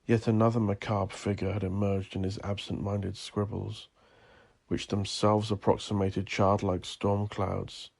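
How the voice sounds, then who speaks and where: A man narrating dark horror fiction in a deliberate, literary storytelling manner. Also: lowish voice, level tone, creaky.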